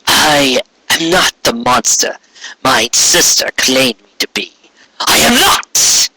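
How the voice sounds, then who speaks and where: A man's voice: medium pitch, very animated, bright, forceful tone, breathy edge, full character voice, poor audio. A man voicing a regal, menacing female alicorn tyrant in an archaic, grandiose manner for a storybook reading. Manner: archaic, grandiose, slow proclamations.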